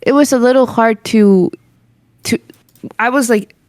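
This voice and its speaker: A woman's voice: a little high, a little slow, a little mellow, dry recording, clean studio sound. A woman co-hosting a casual podcast chat. She speaks hesitantly, often restarting as she searches for words. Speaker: podcast co-host.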